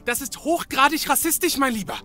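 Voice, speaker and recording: A jittery, fussy sidekick in a comedy audio drama. His voice is sharp and bright, and he chatters indignantly, tripping over words, with faint music behind. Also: very high voice, brisk. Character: nervous, chattering, fussy male detective's assistant.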